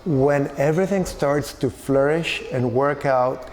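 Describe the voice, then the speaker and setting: An older man from Chile who runs an orphanage, speaking in a halting, earnest way in a documentary interview. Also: medium pitch, darkish tone, low-quality recording. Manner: halting, earnest, searching for words. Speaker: orphanage director.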